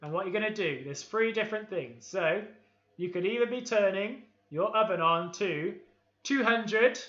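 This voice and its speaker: A Welsh man with a high, very bright and tense voice, upbeat and playful as he leads children through baking. The sound is echoey and dull, like lo-fi livestream audio from a kitchen. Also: moderate pace. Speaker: host of a kids' baking livestream. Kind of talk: leading a live baking session with a game of I Spy. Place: home kitchen.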